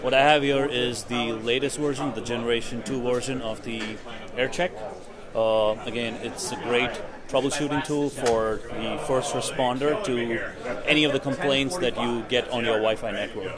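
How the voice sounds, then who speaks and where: A man's voice: mid-range voice, steady tone, normal speed. A man giving an informal, explanatory product demonstration as a company representative. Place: indoor tech conference event. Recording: busy, noisy conference hall crowd. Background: crowd chatter.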